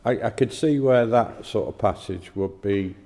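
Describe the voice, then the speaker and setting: Man, deep voice, soft dark tone, plain-spoken, halting conversational delivery, hall reverb. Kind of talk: casual religious discussion.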